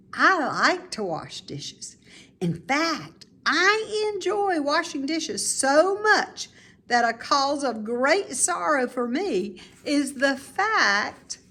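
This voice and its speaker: A playful, cheerful storybook lady, acted out by a woman reader. Her voice lifts and swoops as she savours each word. She sounds animated and emphatic, full of make-believe. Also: high-pitched, pitched above the performer's own voice, heavily acted. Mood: delighted.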